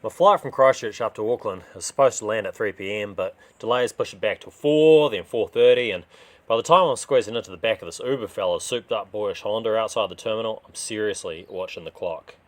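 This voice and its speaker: A man narrates a crime story he wrote. His voice sits low, and he tells it in the first person as a dramatised reading. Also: a little fast.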